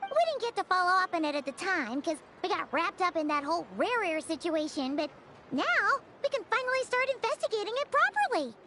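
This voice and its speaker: Tiny game fairy sidekick: squeaky-high, cute, chatty, very animated delivery. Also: female voice, a little fast, slight background noise, exaggerated voice. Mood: chatty, upbeat. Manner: perky, chatty, refers to self in third person. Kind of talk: animated video game voice acting.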